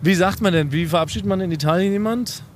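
A man with a slightly raised, light voice tells tales with mock-serious exaggeration in relaxed podcast banter. A faint hiss sits under his voice. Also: high-pitched, fast talker. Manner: playful, tells stories with jokey exaggeration. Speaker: podcast host. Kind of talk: casual chat.

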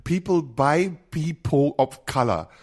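A man co-hosting a casual podcast chat, playful and quick to laugh. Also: a little high, lively intonation, darkish tone. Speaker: co-host.

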